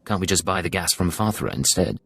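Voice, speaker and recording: An actor playing a cool, self-assured secret agent in a video game, speaking tersely and smoothly. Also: male voice, low voice, very animated, brisk, fairly bright tone, no room sound, heavily acted. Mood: businesslike. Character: cool, confident male secret agent posing as a pirate.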